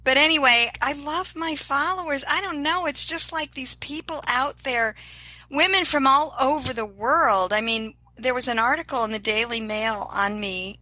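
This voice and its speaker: A woman's voice: high-pitched, moderate pace, pushed and bright, no room sound, low-quality recording. An older woman talking as an interview guest, upbeat and encouraging in a casual motivational tone.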